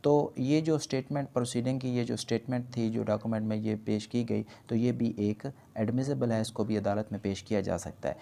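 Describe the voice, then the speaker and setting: A man's voice: medium pitch, fairly even intonation, brisk, creaky, few pauses, warm tone. A man with a Pakistani accent giving an explanatory law lecture, reading points aloud carefully and explaining them conversationally. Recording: noticeable background noise.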